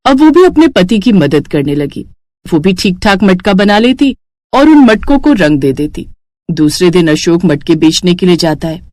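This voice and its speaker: A woman's voice: on the low side, sing-song, moderate pace, mellow, no room sound, studio quality. A woman storyteller reading a scripted moral story for children.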